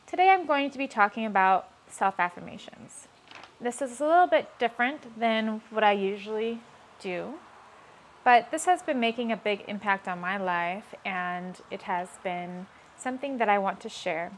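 A woman slowly and calmly leading guided self-affirmations, repeating each line twice. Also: mid-range voice, a little hiss or hum. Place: outdoors on a rainy day.

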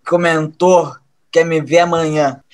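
A man acting in an audio drama as a resigned, sardonic campaign trainer with a deadpan manner. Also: on the high side, a little slow, natural voice. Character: dry, resigned male campaign trainer, fatalistic.

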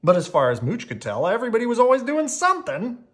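A storybook reader giving an expressive bedtime read-aloud in a theatrical, playful way with pauses. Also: medium pitch.

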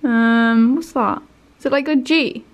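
A woman's voice: a little high, no room sound. Bubbly young woman vlogging outdoors. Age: young adult. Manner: chatty, bubbly, quick asides to camera. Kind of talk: casual vlog chat. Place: outdoors in a town, lawns and streets. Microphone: handheld camera at arm's length.

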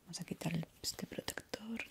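A young adult woman with an accent from Spain whispers a soothing, unhurried ASMR barber roleplay in a breathy, reassuring way, close to the microphone. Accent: Spanish, from Spain.

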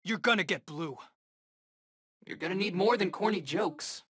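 An actor playing a cocky, eager young rookie superhero in a video game, bantering in casual, wisecracking slang. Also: male voice, sits high, expressive, moderate pace, pushed and bright, slightly rough, heavily acted. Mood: confident, taunting. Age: young adult.

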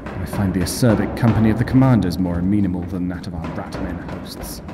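An actor playing a haughty, cowardly alien intellectual with acerbic, formal diction in an audio drama. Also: male voice, low-pitched, steady tone, fast, music in the background, lightly acted. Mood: sneering disdain. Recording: hissy, noisy ambience.

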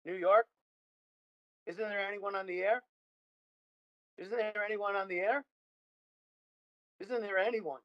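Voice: medium pitch, steady tone, a little slow, pauses often, no room sound, lightly acted. Muffled, desperate lone radio operator, acted. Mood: desperate pleading. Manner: repetitive call-sign hailing, pleading. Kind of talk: radio drama acting. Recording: dull, muffled radio-like sound.

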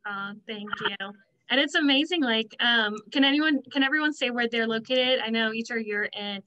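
Casting: enthusiastic woman host, forceful bright voice, informal delivery, lo-fi video call sound. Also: a little high, normal speed. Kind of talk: casual video call hosting. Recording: dull lo-fi video call audio.

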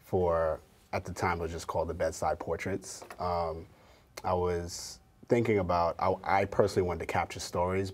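A man in his thirties, an artist and curator guest, talking earnestly in a TV studio interview with frequent fillers. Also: deep voice. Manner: thoughtful, filler-heavy, earnest. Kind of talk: casual interview talk. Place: TV studio, indoors.

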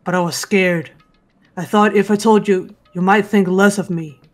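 A woman voicing a huge, stoic, honorable female martial artist in a visual novel game, speaking in a solemn, measured way. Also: medium pitch, steady tone, faint music behind, natural voice. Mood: remorseful.

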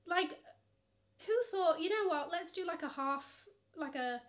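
A woman's voice: very high-pitched, unhurried, fairly bright tone. A young woman chatting through luxury online shopping, sassy and gushing, calling bags "she" while seated at her camera. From England. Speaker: fashion vlogger. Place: bedroom with shelves of shoes.

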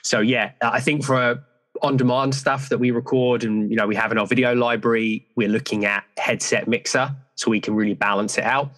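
A man with a deeper voice, a fitness coach speaking as a podcast guest in a relaxed, rambling way. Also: fairly quick. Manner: relaxed, rambling with fillers like "so yeah". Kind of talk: casual interview chat.

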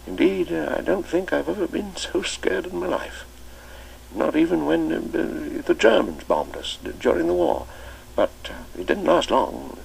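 A man's voice: a little high, vocal fry, slight background noise, voice pushed up, exaggerated voice, poor audio. A man reading an audiobook, voicing a kindly elderly male schoolmaster who hesitates with many ums and uhs. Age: older.

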